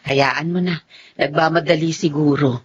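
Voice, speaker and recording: A woman acting a worried, scolding wife and protective mother with a husky voice in a Filipino radio drama. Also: low-pitched, fairly even intonation, normal speed. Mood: soothing. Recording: dull, muffled audio.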